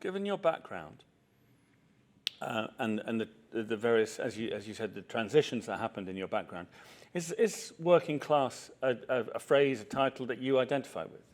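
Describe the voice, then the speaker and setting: A man's voice: medium pitch, sing-song, normal speed. A middle-aged man chairing a festival panel in a small hall, chatty and self-deprecating with many fillers. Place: small event hall with audience.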